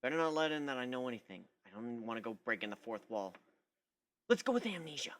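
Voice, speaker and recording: Guy reading a story out loud, really animated, sarcastic, bright voice, first person. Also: mid-range voice, fast talker. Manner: sarcastic, theatrical. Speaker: narrator.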